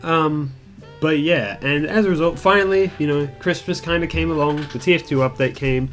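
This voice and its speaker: A man's voice: on the high side, normal speed. A young man telling a personal story in a casual, rambling, candid way, with mild swearing and self-deprecation. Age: young adult. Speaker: gaming video creator telling a personal story. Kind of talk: casual storytime chat over gameplay. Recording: music behind the voice. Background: calm guitar music.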